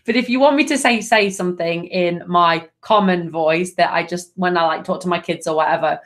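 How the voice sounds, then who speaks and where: A woman's voice: on the low side, fairly quick. Soothing chatty woman from Nottingham. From Nottingham, England. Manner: gentle, soothing, affectionate, with frequent fillers. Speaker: angel card reader. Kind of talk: live card reading, chatty.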